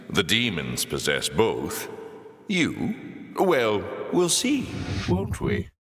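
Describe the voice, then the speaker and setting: An actor voicing a sardonic, pompous demon lord in a video game, taunting with dry, theatrical sarcasm. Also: male voice, mid-range voice, fairly bright tone, full character voice. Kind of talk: scripted video game character voice lines. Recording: echoey hall with long reverb.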